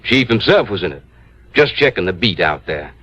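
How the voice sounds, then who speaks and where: An actor playing an insistent, tight-fisted small-town insurance agent with a folksy, hesitant manner in an old radio drama. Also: male voice, sits low, very animated, normal speed, a bit bright, full character voice. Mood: explaining. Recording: dull vintage radio recording.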